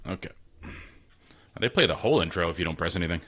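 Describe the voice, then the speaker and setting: Low-voiced man chatting on a stream. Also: animated, fast, a bit bright, breathy edge. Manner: chatty, self-deprecating, talks to chat. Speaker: gaming streamer. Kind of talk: casual live-stream chat. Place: small spare room at home. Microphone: desk mic. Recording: slightly tinny home stream mic, faint noise.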